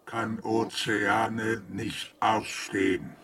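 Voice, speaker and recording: A man playing a gloomy, bitter robot in a radio drama, speaking in a terse, deadpan, contemptuous way. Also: fairly low pitch, drawn-out words, a little hiss or hum. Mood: weary contempt. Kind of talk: German radio drama acting.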